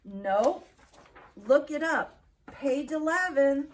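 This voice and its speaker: A woman's voice: high voice, animated. A woman voicing an earnest, easily frustrated elephant in a theatrical children's storybook reading. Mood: hesitant, earnest. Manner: drawn-out, theatrical storybook delivery. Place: indoor library meeting room. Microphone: camera several feet away.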